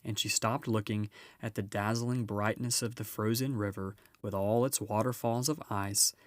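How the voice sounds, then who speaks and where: A man's voice: fairly low pitch, steady tone, normal speed, no room sound. A man reading a children's storybook as scripted narration. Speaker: storybook reader.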